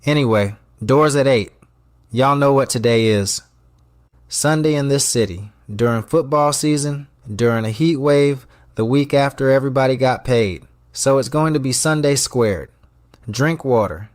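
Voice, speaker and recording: A man's voice: medium pitch, a little slow, no room sound, lightly acted. A man playing a commanding restaurant boss in an audio drama, with a clipped, dryly funny delivery. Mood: brisk, commanding. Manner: commanding, clipped, dry humour. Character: brisk, wry male restaurant boss running a tight ship.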